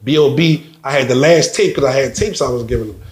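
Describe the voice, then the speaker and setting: Animated storytelling man, echoey room. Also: medium pitch, fairly quick. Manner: animated storyteller, acts out quotes, repeats words. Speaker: interview guest, rapper. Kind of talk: casual storytelling interview.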